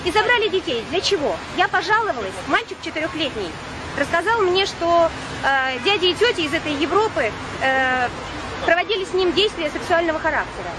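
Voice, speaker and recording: A woman in her forties, born in Ukraine and raised in Russia, giving an urgent, insistent speech at a street picket and often pausing on drawn-out "uh" fillers. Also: very high voice, lively intonation, a crowd in the background, background noise. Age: 40s. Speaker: protester at a picket. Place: outdoor street picket beside traffic.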